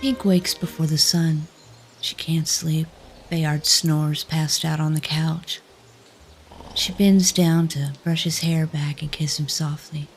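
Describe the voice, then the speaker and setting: A woman's voice: low voice, steady tone, slow, low-quality recording. A woman narrating a scripted fantasy story. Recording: faint music behind the voice.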